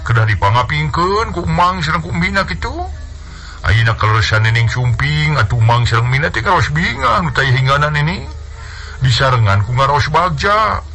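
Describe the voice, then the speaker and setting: A male storyteller playing a long-winded village uncle who lies smoothly to his niece. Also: fairly high pitch, sing-song, moderate pace, bright, forceful tone, voice pushed up, exaggerated voice. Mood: wheedling. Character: lying middle-aged village uncle, smooth-talking.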